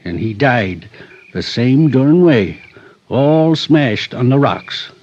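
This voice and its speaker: A sly backwoods guide from the American West, drawling in homespun country talk. His dry, lively delivery comes through a dull, hissy vintage radio drama recording. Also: medium pitch, takes it slowly. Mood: somber, folksy. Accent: rural American West. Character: folksy rustic male outdoor guide, sly and unflappable. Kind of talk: 1940s-50s radio drama acting.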